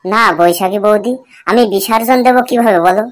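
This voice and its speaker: A man's voice: high voice, a little fast, lightly acted. Man voicing a bawdy, grumbling young village bachelor. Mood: pleading, stubborn. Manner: colloquial, complaining, pleading. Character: Futo, bawdy young bachelor, pleading and evasive. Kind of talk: comic cartoon dialogue and muttered asides.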